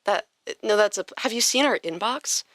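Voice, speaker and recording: A woman chats casually as a podcast host, with an informal, playful way of talking. Her voice sits at a middle pitch. The recording is dry and close, made in a studio. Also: lively intonation, moderate pace, fairly bright tone, no room sound.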